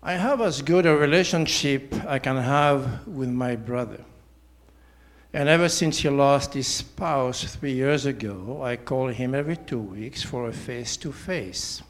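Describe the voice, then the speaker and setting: A man, giving a prepared personal testimony in a measured, deliberate way. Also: mid-range voice. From Switzerland. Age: 70s. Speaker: church member giving testimony.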